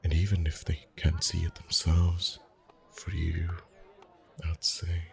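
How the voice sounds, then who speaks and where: A man acting as a friendly festival face painter with a very deep, mellow voice. He is soft-spoken and chatty, gently teasing and flirting as he reassures his customer in an intimate ASMR roleplay. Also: completely flat delivery, takes it slowly, gravelly, heavily acted. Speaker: voice actor.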